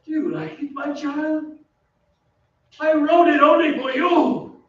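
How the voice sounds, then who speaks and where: An actor playing a tormented, possessive and self-loathing masked composer in an old-time radio drama. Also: male voice, extremely high pitch, fairly even intonation, slow. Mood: tender, obsessive. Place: theatre stage. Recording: echoey stage, distant, muffled, faint music.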